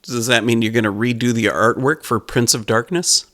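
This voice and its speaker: A male radio host asking interview questions in a lively, teasing way. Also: mid-range voice, normal speed, dry recording.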